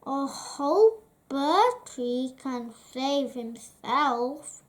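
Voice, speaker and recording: A woman's voice: high voice, animated, extremely slow, heavily acted. A woman voicing a small, frightened young male billy goat who pleads timidly in a children's storybook. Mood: worried.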